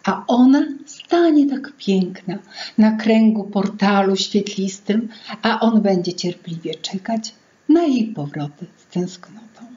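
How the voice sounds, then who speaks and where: A middle-aged woman reading her own fairy tale to children in an expressive, theatrical singsong way. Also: mid-range voice, takes it slowly. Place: small indoor room at home. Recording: dull lo-fi sound, music behind. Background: music.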